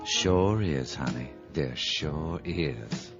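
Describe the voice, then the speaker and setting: An actor playing a sleazy, cowardly backwoods seducer with a Southern US accent in a campy radio parody. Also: male voice, a bass voice, lively intonation, drawn-out words, creaky, full character voice. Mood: smarmy, seductive. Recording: dull lo-fi, music underneath.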